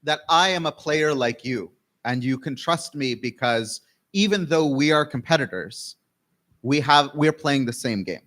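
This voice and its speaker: A man from Canada giving an academic keynote lecture, speaking in measured phrases and pausing often. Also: medium pitch, lively intonation, fairly bright tone.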